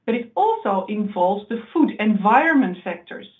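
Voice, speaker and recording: A woman giving a webinar talk from slides, explaining in a measured way with occasional slips and restarts. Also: mid-range voice, sing-song. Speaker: research presenter. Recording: tinny phone-like audio.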